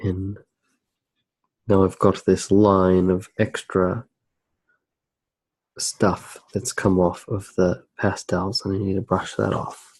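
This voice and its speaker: A man softly narrating his drawing for ASMR, hushed, unhurried and musing as he works. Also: sits low, flat delivery, slightly rough, no echo.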